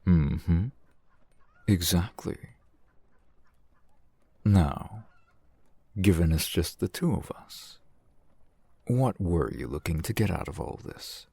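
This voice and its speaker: Casting: actor for suave male shopkeeper and fence, fantasy ASMR roleplay. Deep, gravelly, measured, wry, recorded close and dry. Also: animated, mellow, no room sound, full character voice. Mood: sly, businesslike. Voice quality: gravelly, husky. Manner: measured, formal, wry, silky wording. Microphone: close microphone, dry sound.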